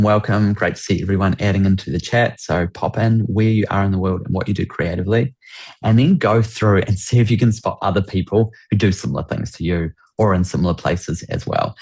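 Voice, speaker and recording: A man's voice: lowish voice, darkish tone. A man with a New Zealand accent, hosting a business workshop on a video call and greeting people with lots of enthusiasm. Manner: enthusiastic, repeats welcomes and praise. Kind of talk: upbeat webinar hosting.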